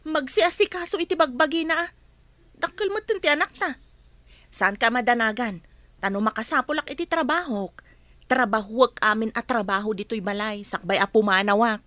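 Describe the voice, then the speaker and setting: An exasperated, principled wife in an Ilocano radio drama. Her voice is clearly high, recorded close and dry in the studio. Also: normal speed, pushed and bright, no echo. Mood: indignant.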